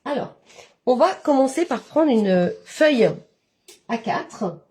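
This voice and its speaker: A woman's voice: sits high, animated, slow pace, darkish tone, husky. A woman with a head cold, talking nasally as she walks viewers through a papercraft project step by step, with many fillers. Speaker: papercraft instructor. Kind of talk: live-stream craft tutorial.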